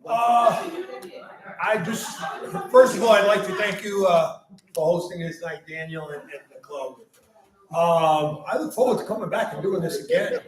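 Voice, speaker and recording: A man's voice: sits high, a little slow, crowd noise. A man who chairs a county party, speaking bluntly and emphatically at a panel discussion. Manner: blunt, emphatic, repeats "okay?". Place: club meeting room. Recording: echoey room, mic at a distance.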